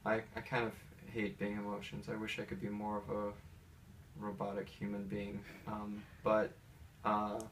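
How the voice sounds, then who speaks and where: A man's voice: fairly low pitch, completely flat delivery, normal speed. A man in his thirties with an American accent, talking casually and hesitantly in a rambling, personal way as he answers interview questions. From Atlanta, United States. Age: 30s. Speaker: interviewee, pianist. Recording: distant mic with faint background noise.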